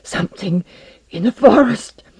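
A narrator voicing a frightened, rattled male scientist who talks in breathless, halting, emphatic bursts. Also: mid-range voice, sing-song, vocal fry, warm tone, husky, heavily acted. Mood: terrified.

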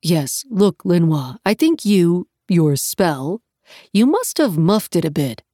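A woman playing a modest, bewildered American male professor, questioning and halting, in a storybook reading. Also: fairly low pitch, big pitch swings, dark tone, no echo, clean studio sound, exaggerated voice. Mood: flustered.

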